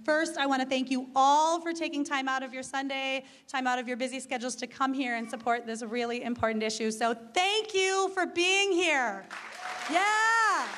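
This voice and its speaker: A woman's voice: high-pitched, normal speed, fairly bright tone. A woman organizer welcoming the audience and politely asking them to take their seats at a town hall. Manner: polite, coaxing people to sit down. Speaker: event organizer and host. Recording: crowd chatter and room noise.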